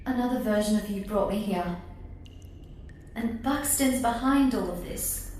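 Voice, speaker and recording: An actress playing an earnest, worried companion to an old professor in an audio drama, speaking plaintively. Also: female voice, mid-range voice, unhurried, a little mellow, a little hiss or hum, close to an everyday voice. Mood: concerned. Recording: very echoey, with music underneath. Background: music.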